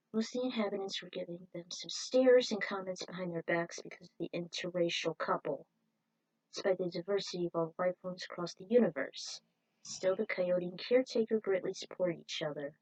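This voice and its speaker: A woman's voice: on the low side, darkish tone, no room sound, low-quality recording. A woman reading a fan fiction story aloud in an informal way, breaking off for her own opinionated asides.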